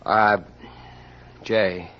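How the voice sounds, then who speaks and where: An actor playing an anxious, pleading male suspect in an old radio mystery, speaking in a halting way. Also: fairly low pitch, fairly even intonation. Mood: nervous hesitation. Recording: dull, noisy old radio recording.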